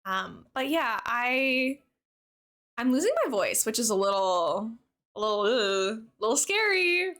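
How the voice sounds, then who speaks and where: A woman's voice: on the high side, big pitch swings, measured pace, a bit bright. A young woman livestreamer chatting casually with her viewers in a bubbly, talkative way. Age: young adult. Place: live game stream.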